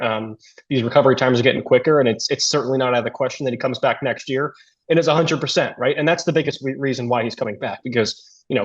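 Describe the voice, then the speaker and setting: A man working as a sports talk radio commentator, giving conversational analysis on a slightly dull recording. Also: medium pitch.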